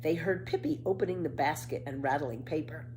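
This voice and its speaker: A woman reading a children's story aloud in an expressive, careful storytelling manner. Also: sits low, fairly quick, slight background noise, rough recording.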